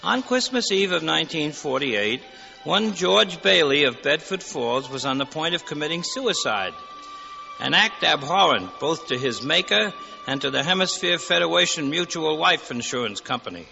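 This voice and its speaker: A man's voice: a little high, animated, normal speed, creaky, bright, forceful tone, exaggerated voice. A man playing an eager, pompous, eccentric angel with florid, formal diction in a 1940s radio drama. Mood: pompous, proud. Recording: dull 1940s radio, long hall reverb, music.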